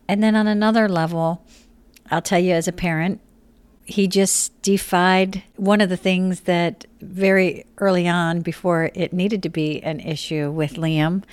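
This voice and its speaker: A woman's voice: lowish voice, no echo. A woman co-hosting a podcast interview, speaking in an earnest, heartfelt way. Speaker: podcast co-host.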